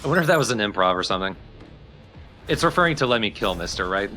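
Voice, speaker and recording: A man's voice: on the low side, sing-song, fast talker, sharp tone, faint music behind. A man giving casual, wry, conversational co-commentary on a video game. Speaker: let's play co-commentator.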